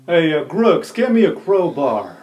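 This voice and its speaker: A game master narrating an improvised tabletop fantasy game in a casual, expressive storytelling way. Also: medium pitch, measured pace, lo-fi sound. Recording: roomy echo, guitar music behind. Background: guitar music.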